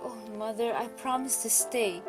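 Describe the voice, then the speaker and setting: Earnest young girl, acted by a woman, high voice, halting and pleading, amateur radio drama with prominent music. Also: natural voice. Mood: remorseful, grieving. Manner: pleading, halting, repeats words. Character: young, earnest, anguished girl back from Neverland. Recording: music loud behind voice.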